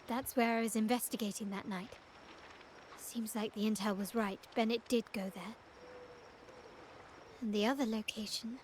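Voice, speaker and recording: A woman playing a poised young noblewoman in a video game, speaking in a measured, formal, commanding way. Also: fairly high pitch, slight background noise, close to an everyday voice. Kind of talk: scripted video game character acting.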